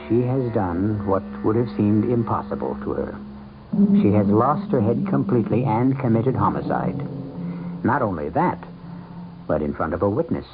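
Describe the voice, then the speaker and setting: Ominous, gravelly male radio host. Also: on the low side. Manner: ominous, measured, theatrical. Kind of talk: dramatic scripted narration. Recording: tinny old radio broadcast. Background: suspense music.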